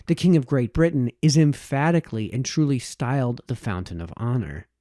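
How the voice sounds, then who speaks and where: A man reading a formal historical essay aloud as an audiobook narrator, with measured, emphatic phrasing. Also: mid-range voice, lively intonation, mellow, no room sound, clean studio sound. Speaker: audiobook reader.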